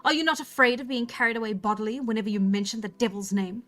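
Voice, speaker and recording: Storybook role: scornful young wife with a witchy streak, read by a woman. Haughty, mock-malignant, high female pitch. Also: fast talker, fairly bright tone, natural voice. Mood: mock menace.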